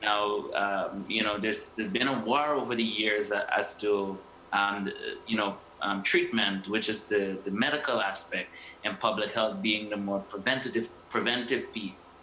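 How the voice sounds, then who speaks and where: A man's voice: fairly low pitch, fairly even intonation, moderate pace, bright, forceful tone. A man from Guyana speaking as an interview guest, hesitating with many fillers and restarts. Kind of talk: interview answers. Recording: echoey room with faint noise.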